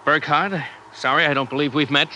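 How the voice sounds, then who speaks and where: An actor playing a coolly polite stranger on a bus in a 1950s radio drama, formal and dismissive. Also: male voice, on the high side, fairly even intonation, fairly quick, bright, forceful tone. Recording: very noisy vehicle ambience.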